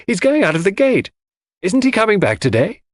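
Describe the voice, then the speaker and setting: An amused, candid lady in a classic audiobook mystery performed by a male narrator. She questions and exclaims in a raised, very animated pitch. The sound is close and dry. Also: fairly quick, no echo. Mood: amused, puzzled.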